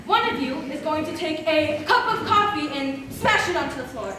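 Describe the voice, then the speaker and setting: Stage comedy seer played by a young woman: portentous, swooping, very high voice, echoing from far off. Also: animated, normal speed. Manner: declamatory, portentous. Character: melodramatic doom-prophesying woman, portentous and theatrical. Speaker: actor in a school play. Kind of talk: live amateur stage comedy acting. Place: large indoor stage in an auditorium. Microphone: distant camera microphone. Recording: echoey auditorium from far off, noisy.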